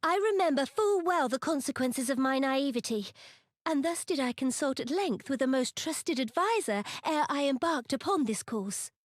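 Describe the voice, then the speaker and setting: A woman's voice: sits high, expressive, moderate pace, no echo, clean studio sound. A woman playing a small, resolute young sultana in a fantasy game, regal and tender, with formal archaic speech. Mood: firm, reproachful. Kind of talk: dramatic fantasy game voice acting.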